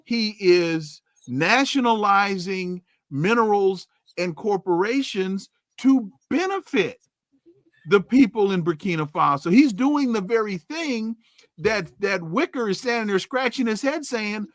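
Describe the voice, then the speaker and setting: A male podcast host who speaks slowly and deliberately. His pitch moves a lot as he fires off indignant rhetorical questions. The sound is dry and close to the mic. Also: animated, dry recording. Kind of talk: podcast interview.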